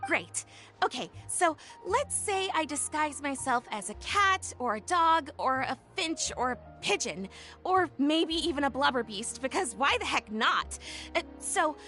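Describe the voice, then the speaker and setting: A peppy salesy inventor. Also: female voice, animated, a little slow, sharp tone, faint music behind, full character voice. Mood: playful. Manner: upbeat pitchman patter, explains eagerly. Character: enthusiastic young woman tech inventor, salesy. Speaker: game character. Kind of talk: video game voice acting.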